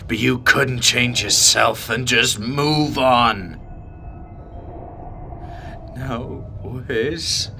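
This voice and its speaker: Man acting as desperate captive father, defiant, creaky low voice, halting muttered lines, audio drama, clear background noise. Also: bright, forceful tone, exaggerated voice. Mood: angry, desperate.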